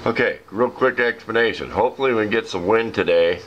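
Low, rough-voiced American man. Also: a little fast, muffled recording. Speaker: hobbyist demonstrating a homemade project. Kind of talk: casual explanatory demo. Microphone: handheld camera, speaker behind it. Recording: noisy home video with ambient sound.

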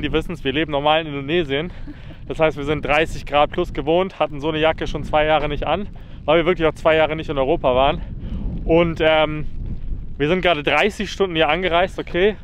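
A young man chatting excitedly and casually as a travel vlogger on a road trip, with plenty of slang. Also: fairly high pitch, a little fast, a little hiss or hum. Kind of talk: casual travel vlog chat. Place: outdoors by a car on a road trip.

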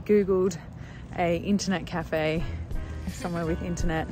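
A woman's voice: on the low side, steady tone, normal speed, over music, poor audio. A young woman talking to her phone camera outdoors on a town street, chatty and upbeat. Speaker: vlogger. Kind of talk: casual talk to camera. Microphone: phone at arm's length.

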